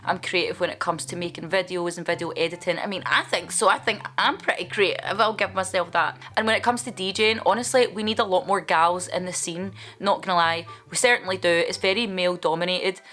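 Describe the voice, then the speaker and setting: A woman's voice: lowish voice, fairly even intonation, a little fast, hardly any pauses, a bit bright. A woman, vlogging in a chatty, candid way as she answers viewer questions, with playful asides. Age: 20s.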